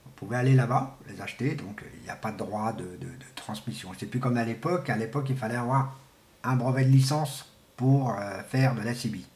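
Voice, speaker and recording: A middle-aged man speaks at a middling pitch in an echoey room. He explains things informally and without a script, like a hobbyist presenter. His delivery is halting and colloquial, and he repeats himself to make a point. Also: a little mellow. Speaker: amateur CB radio enthusiast presenting. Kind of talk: informal unscripted explainer to camera. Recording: echoey, roomy sound.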